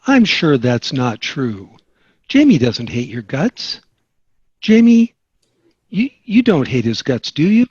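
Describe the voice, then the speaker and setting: A dull, lo-fi close recording of a man playing a sitcom dad. His husky voice swings widely as he coaxes and instructs, practical and friendly. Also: medium pitch, slow, pauses often, dry recording, heavily acted. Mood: friendly, reassuring. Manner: animated, coaxing.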